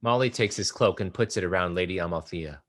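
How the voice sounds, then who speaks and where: A man reading stage directions aloud in a play table read, measured and even. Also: deep voice. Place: live reading at an art exhibition.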